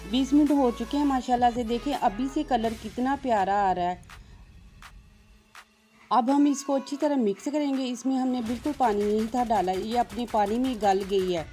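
A woman's voice: a little high, moderate pace, warm tone, faint music behind. A woman, hosting a cooking channel and narrating each step politely in a voice-over. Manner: step-by-step, polite, religious greetings.

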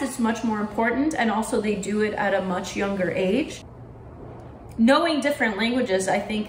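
A woman's voice: fairly high pitch, slight background noise. A young woman from Poland, chatting casually to camera from an armchair in her living room and gesturing a lot. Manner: chatty, with lots of hand gestures. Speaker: vlogger. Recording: roomy echo in a living room.

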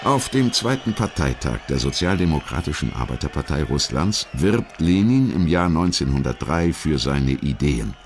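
Ein Mann, der als Erzähler einen vorbereiteten historischen Text vorträgt. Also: male voice, extremely low pitch, sing-song, normal speed, few pauses, background noise. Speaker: documentary narrator. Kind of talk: scripted historical narration.

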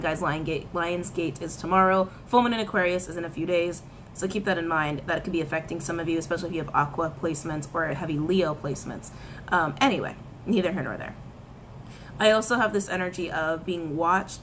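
A woman's voice: mid-range voice, slight background noise, poor audio. A woman in her thirties, giving a casual tarot reading to camera in a small room, talking with lots of gestures and repeated phrases. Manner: chatty, animated hand gestures, frequent laughs and repetitions. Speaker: tarot reader. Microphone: camera in front, head and shoulders framing.

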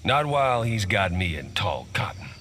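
Deep drawling Southern gunslinger. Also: male voice, sing-song, moderate pace, a bit bright. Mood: cocky, wry. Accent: American Southern. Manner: drawling, wry. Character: cocky gunslinger mercenary cowboy, amused and dangerous. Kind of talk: fighting game character dialogue. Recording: clear game background noise.

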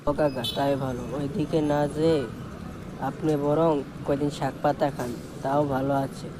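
Man playing a higher-voiced, complaining Bangladeshi nephew. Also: flat delivery, moderate pace, background noise, close to an everyday voice. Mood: friendly, commiserating. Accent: Bangladeshi Bengali. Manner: complaining, dramatic. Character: aggrieved, talkative young village nephew. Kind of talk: comic cartoon dialogue.

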